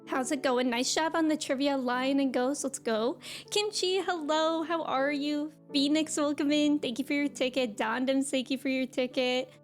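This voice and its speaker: High-pitched chatty woman streamer. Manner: chatty, informal, giggly. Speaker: live game streamer hosting. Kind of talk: casual livestream chat greeting viewers.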